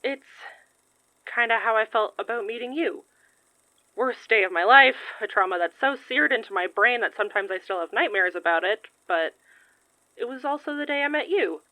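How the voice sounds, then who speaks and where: A woman's voice: on the high side, animated, moderate pace, pushed and bright, no echo, low-quality recording. A woman acting in a fiction podcast as a playful, nosy, loyal best friend, teasing and slangy. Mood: tender.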